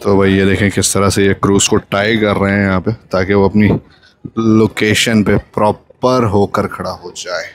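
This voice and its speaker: A man's voice: fairly low pitch, little pitch movement, normal speed. Chatty male vlogger on a boat deck. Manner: chatty, mixes English phrases, repeats "जो है ना". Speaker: travel vlogger. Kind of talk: casual walkthrough vlog. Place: outdoors on a cruise boat deck.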